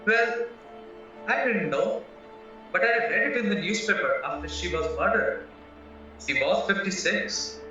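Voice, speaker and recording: A man's voice: high voice, lively intonation, measured pace, pauses often, bright, forceful tone, exaggerated voice. A man acting a frank, flustered young married murder suspect in an audio play, hesitant and earnest as he protests. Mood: earnest, candid. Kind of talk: stage-style courtroom audio drama acting. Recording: echoey, distant, dull, music behind.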